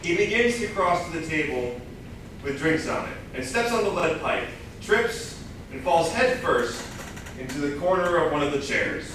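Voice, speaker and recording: A man's voice: on the high side, sing-song, moderate pace. Echoey, distant man reading stage directions. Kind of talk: scripted narration at a staged reading. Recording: echoey hall, far mic, clear noise.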